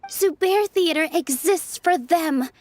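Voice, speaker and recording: A woman's voice: extremely high pitch, slow, no echo. A woman playing an earnest young dancer in a game, pleading and defending her theater. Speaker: performer in a dispute.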